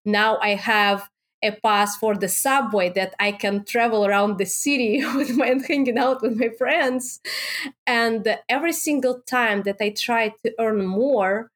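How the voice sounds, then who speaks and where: A woman from Russia with a Russian accent, speaking halting non-native English as a guest in a conversational interview. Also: on the high side, fairly bright tone. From Moscow, Russia. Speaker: podcast guest, business coach.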